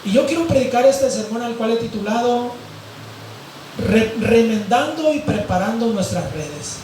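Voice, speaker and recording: Hoarse pastor in an echoing hall. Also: male voice, extremely high pitch, expressive, moderate pace, darkish tone, a little hiss or hum. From Mexico, grew up in Mazatlán. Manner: rambling, fills with "eh" and "¿verdad?". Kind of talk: sermon. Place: large echoey church hall. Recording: very echoey hall from far off.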